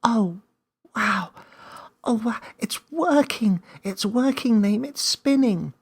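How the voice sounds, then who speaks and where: A man doing an excitable high-pitched little girl. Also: takes it slowly, slightly rough, full character voice. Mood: amazed. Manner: breathless, hesitant wonder. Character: excitable, wide-eyed, curious young girl.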